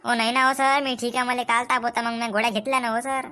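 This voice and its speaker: A woman's voice: fairly high pitch, flat delivery, quick pace, sharp tone. A woman voicing a cheeky, lazy schoolboy in a comic cartoon, with a Vidarbha Marathi accent and impudent, wheedling backtalk. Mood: whining, pleading.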